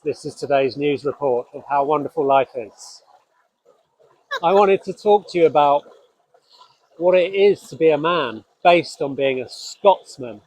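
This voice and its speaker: Scottish-born male host, no accent, earnest and halting, fairly high pitch. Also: lively intonation, a little mellow, low-quality recording. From Scotland. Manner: earnest, halting, with frequent restarts. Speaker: spiritual podcast host. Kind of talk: reflective solo talk.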